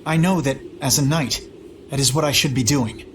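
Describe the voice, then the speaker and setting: A man's voice: mid-range voice, normal speed, noisy background, lightly acted. A man playing a noble young knight captain in a video game, formal, solemn and earnest. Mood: solemn pleading. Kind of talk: dramatic video game voice acting.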